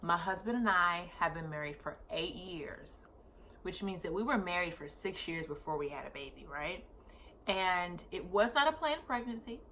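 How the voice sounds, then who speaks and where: A woman in her thirties talks straight to her viewers in a loose, chatty vlog style. Her voice sits a little low but comes across bright and forceful. She often stops to correct herself mid-sentence and keeps going. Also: expressive, moderate pace, slight background noise. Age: 30s. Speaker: vlogger. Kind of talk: casual personal vlog storytelling.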